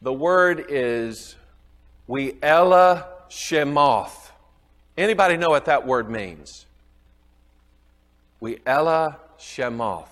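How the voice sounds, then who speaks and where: High-voiced Southern man teaching Bible class. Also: lively intonation, extremely slow, long pauses, a bit bright, big-room sound. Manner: chuckling often, quizzing the class.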